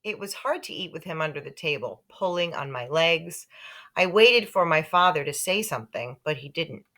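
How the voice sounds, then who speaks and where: Animated woman reading a children's story. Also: low-pitched, moderate pace. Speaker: story reader. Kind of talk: expressive read-aloud of a children's book.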